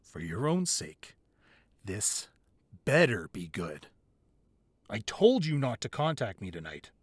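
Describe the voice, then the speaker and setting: A man acts out an affectionate boyfriend who doubles as a hardened crime boss in an intimate audio roleplay. His mid-pitched voice moves freely up and down, conversational and able to turn from playful to commanding. The sound is close and very dry. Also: breathy edge, no echo, exaggerated voice. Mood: cold, stern. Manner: conversational, playful with partner, curt and commanding in business. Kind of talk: intimate ASMR roleplay acting.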